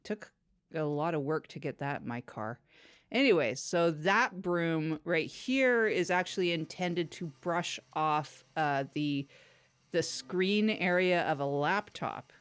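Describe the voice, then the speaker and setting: A woman playing an awkward, unfiltered broom salesperson in improvised comedy, rambling haltingly in a matter-of-fact way. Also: low-pitched, animated, clear, resonant tone, no echo. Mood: deadpan, rambling. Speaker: product demonstrator on a comedy podcast.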